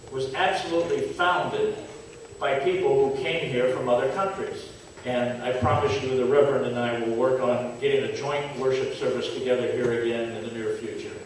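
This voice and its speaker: A man from the United States, a pastor, giving friendly and unhurried remarks at a church anniversary. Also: medium pitch. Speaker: visiting pastor. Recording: echoey room from far off with noise.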